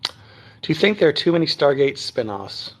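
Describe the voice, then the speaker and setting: A man from Texas who speaks standard American, hosting a casual phone livestream from home and chatting through viewer comments with many ums and throat clears. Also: fairly high pitch, sing-song, moderate pace. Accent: none, trained standard American. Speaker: livestream host. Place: at home near Dallas.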